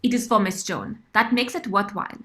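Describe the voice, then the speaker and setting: A woman's voice: mid-range voice, fairly quick, sharp tone, close to an everyday voice. A woman playing a devoted, motherly older servant with a solemn, intense and menacing edge in an audio drama.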